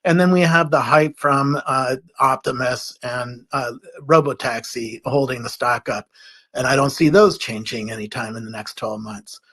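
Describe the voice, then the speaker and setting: A man's voice: medium pitch, normal speed, fairly bright tone, slightly rough. A man speaking as a panelist in casual market commentary, setting out his view analytically, point by point.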